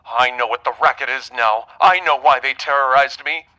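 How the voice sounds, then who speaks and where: An actor playing a distraught, earnest young bridegroom in a period radio drama, speaking urgently through a telephone effect. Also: male voice, mid-range voice, normal speed, bright, forceful tone, poor audio. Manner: earnest, urgent melodramatic delivery.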